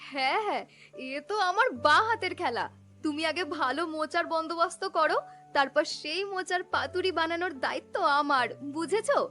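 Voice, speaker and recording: Brisk, nagging village wife played by a woman. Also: very high voice, sharp tone, soft background music. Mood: confident. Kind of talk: cartoon voice acting.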